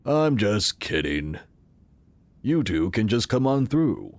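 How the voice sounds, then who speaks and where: Actor as an affable, enigmatic founder in sci-fi audio drama; welcoming, animated intonation, dry sound. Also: male voice, lowish voice, slow pace, no echo, heavily acted. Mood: teasing, assured. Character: affable, enigmatic male founder of secretive tech company. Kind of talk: full-cast audio drama acting.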